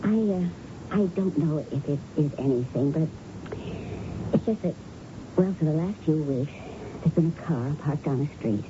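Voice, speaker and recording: An actress playing an observant, quietly composed policeman's widow in a radio drama, speaking thoughtfully and haltingly. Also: lively intonation. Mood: uneasy, understated. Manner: low-key, thoughtful, halting. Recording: dull, noisy old radio recording.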